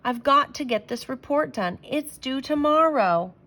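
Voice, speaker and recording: A woman's voice: high voice, expressive, unhurried, fairly bright tone, voice pushed up. A woman voicing an exasperated schoolboy big brother in a storybook, pleading and emphatic. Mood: pleading, fed up. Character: exasperated older brother, a schoolboy burdened with his sister.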